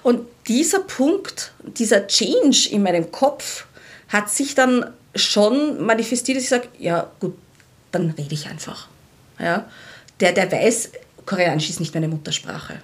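A woman's voice: mid-range voice, expressive, measured pace. Female guest. Conversational, self-reflective, slips into quoted inner dialogue while telling personal anecdotes. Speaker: podcast guest, language learner. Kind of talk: casual podcast conversation.

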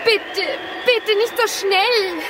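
A woman's voice: very high voice, extremely slow, exaggerated voice. A woman playing a panicking young witch girl in a children's audio play, shrieking and pleading. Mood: panicked. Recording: very noisy, distant old audio play clip.

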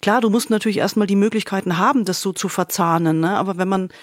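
A woman's voice: mid-range voice, fast talker, no room sound. Woman, emphatic podcast co-host, conversational, enthusiastic, dry close sound.

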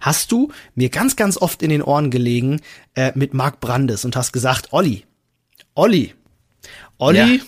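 A man's voice: medium pitch, sing-song, measured pace, husky. A young man co-hosting a podcast in casual, slangy chat. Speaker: podcast co-host.

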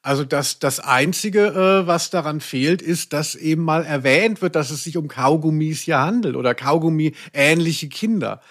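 Higher-voiced man chatting as podcast co-host. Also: normal speed, hardly any pauses, fairly bright tone. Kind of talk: casual chat about audio dramas.

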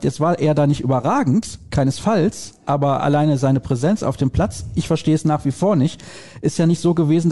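Gravelly-voiced opinionated German man. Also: on the high side. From Solingen, Germany. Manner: opinionated, animated, rhetorical questions. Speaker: podcast host. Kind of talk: casual football podcast chat.

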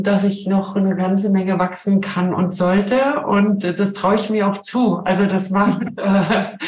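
A woman's voice: medium pitch, a little mellow. A middle-aged woman from Germany, a professor talking as a podcast guest in a reflective way, with many hesitations. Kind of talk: casual interview talk. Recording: echoey room.

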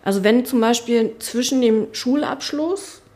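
Halting, thoughtful woman politician. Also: on the high side, a little mellow. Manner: thoughtful, halting, many fillers and restarts. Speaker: politician, spokesperson for family and education. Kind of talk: casual interview talk. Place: her constituency office.

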